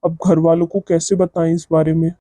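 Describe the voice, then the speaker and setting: A man's voice: fairly high pitch, flat delivery, a little fast, warm tone, no room sound, clean studio sound, close to an everyday voice. A man voicing a grown son of a household in a cartoon moral story. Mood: worried.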